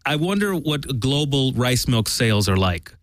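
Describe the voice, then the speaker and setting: A man's voice: medium pitch, big pitch swings, moderate pace, no room sound, studio quality. A man co-hosting a casual podcast, asking playful, curious questions. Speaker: co-host. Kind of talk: casual crude chat.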